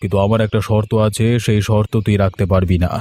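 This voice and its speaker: A man's voice: lowish voice, little pitch movement, quick pace, warm tone, lightly acted. A man voicing a wealthy, brusque and dismissive village uncle in a cartoon story. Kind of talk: Bengali children's cartoon story dialogue. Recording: music playing under the voice.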